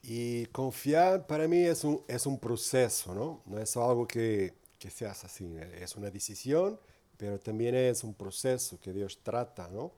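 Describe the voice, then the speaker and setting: A man's voice: mid-range voice, a little slow, dark tone. A man from Portugal preaching in Spanish with a Portuguese accent, giving an earnest, informal sermon full of tag questions.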